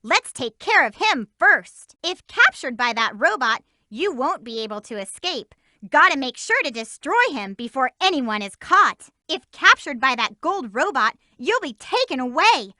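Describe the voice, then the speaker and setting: A woman's voice: sits high, sing-song, a little slow, bright, forceful tone, dry recording, exaggerated voice. A woman voicing a spirited, bossy teenage girl hedgehog in a video game, delivering hints in an upbeat, emphatic way, recorded close in a studio. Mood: eager. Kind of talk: game voice lines and tutorial hints.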